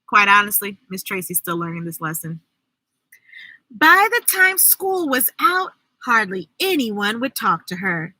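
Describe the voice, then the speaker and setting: A woman reading a children's story aloud, expressive and deliberate, adding her own asides. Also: medium pitch, dry recording, low-quality recording. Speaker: storyteller, host.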